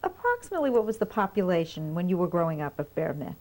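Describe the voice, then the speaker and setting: Female interviewer, measured and methodical, mellow voice. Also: fairly low pitch, sing-song. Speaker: oral history interviewer. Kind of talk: structured interview questions.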